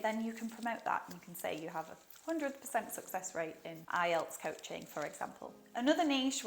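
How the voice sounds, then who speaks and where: Woman, Yorkshire accent, slightly low voice, chatty upbeat vlog coach. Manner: chatty and upbeat, with asides and jokes. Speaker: online English teaching coach. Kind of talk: casual advice vlog.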